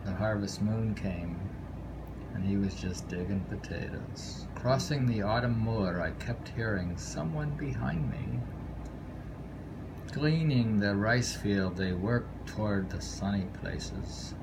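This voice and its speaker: Man, low gravelly voice, reading poetry with musing, informal asides; clear vehicle-like noise in the recording. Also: expressive. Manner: musing, informal, pausing to reflect. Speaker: poetry reader. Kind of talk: reading haiku aloud with casual commentary.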